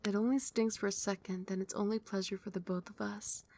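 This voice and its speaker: A woman voicing a doting, possessive female vampire queen in an ASMR roleplay, speaking in a soft, soothing whisper close to the mic. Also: mid-range voice, fairly even intonation, fairly quick, a little hiss or hum, close to an everyday voice. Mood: tender.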